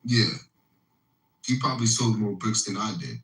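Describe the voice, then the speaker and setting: Man, from Africa, brash, profane, mocking, interrupting, lively pitch, echoey. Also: moderate pace, far from the mic. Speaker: voice chat participant. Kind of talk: heated online voice chat argument. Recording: echoey room.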